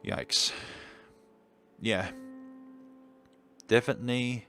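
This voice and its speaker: A man's voice: mid-range voice, fairly even intonation, very slow, music in the background. A man giving casual commentary as he reads through a game aloud. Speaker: game streamer reading aloud. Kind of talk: casual let's-play commentary.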